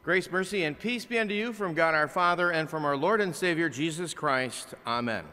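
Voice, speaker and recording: An older man preaches from a pulpit in a large chapel, talking to the congregation in a plain, conversational way. His voice sits a little high and sounds bright and forceful. The pulpit mic catches a long reverb that hangs in the room. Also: moderate pace. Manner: conversational preaching with animated swings from loud to hushed. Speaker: pastor. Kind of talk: sermon. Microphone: pulpit microphone, filmed by a far camera.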